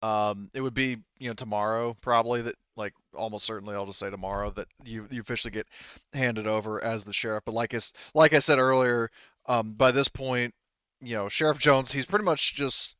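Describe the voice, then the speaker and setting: A man's voice: medium pitch, a little fast, a bit bright, clean tone, no room sound. A man explaining things casually as a tabletop game master, in a rambling way, on a slightly dull close mic.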